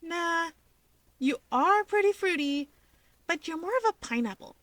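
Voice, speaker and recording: A woman voicing a playful, teasing, warm-hearted young woman from a fantasy guild in a story reading. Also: very high voice, expressive, a little slow, dry recording, voice pushed up, exaggerated voice.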